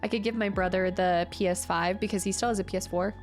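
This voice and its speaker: A woman's voice: medium pitch, fairly even intonation, quick pace. A woman hosting a casual live stream, chatting to herself and viewers as she works, sounding slightly congested. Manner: chatty, murmuring to self and replying to viewers. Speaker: livestream host. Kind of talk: casual live stream chat while building. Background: music.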